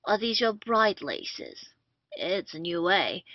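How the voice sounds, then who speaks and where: A woman's voice: lowish voice, sing-song, slow pace, full character voice. A woman playing a vengeful, theatrical male army captain in an old stage comedy, taunting in old-fashioned English. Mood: mocking. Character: vengeful gloating male army captain, first posing as constable. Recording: muffled lo-fi roomy home recording.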